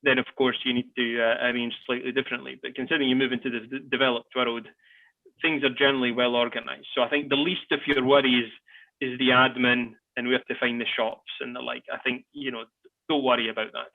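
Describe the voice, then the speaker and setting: A man's voice: medium pitch, little pitch movement, fairly quick. A man speaking as a panel guest, often repeating words while he searches for the right phrase. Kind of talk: live panel discussion. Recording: tinny phone-like line.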